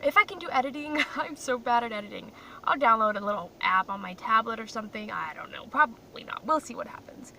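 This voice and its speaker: A young woman from Croatia vlogs from her parked car, rambling freely and laughing at herself. Her voice is bright and a little high. The phone sits a bit away from her, with a faint hum in the background. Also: animated, fast talker. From grew up in Croatia. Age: young adult. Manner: chatty, rambling, self-deprecating. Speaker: vlogger. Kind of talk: casual chat to camera. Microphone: phone camera in a car. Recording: distant mic with faint car noise.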